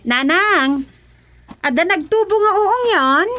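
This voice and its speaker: Woman acting a curious, high-voiced daughter. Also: expressive, slow pace, music in the background, heavily acted. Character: curious, helpful daughter of a mushroom grower. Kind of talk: radio drama acting.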